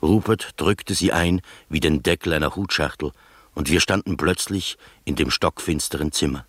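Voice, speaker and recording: An actor playing an observant first-person storyteller, narrating a literary radio drama in measured fashion. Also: male voice, very deep voice, a bit bright, husky, dry recording, heavily acted.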